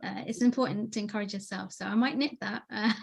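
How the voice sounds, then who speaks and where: A woman's voice: mid-range voice, steady tone, fast talker, vocal fry, breathy edge. A woman moderating an online panel discussion, upbeat and enthusiastic, handing over to guests. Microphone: video call.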